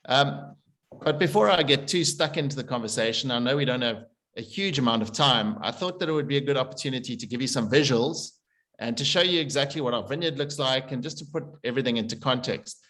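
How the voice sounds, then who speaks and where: A man's voice: mid-range voice, fairly bright tone. A man in his forties from South Africa, a winery founder presenting casually on a video call, chatty, with many fillers and restarts. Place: room with a window onto a vineyard. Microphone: laptop webcam video call.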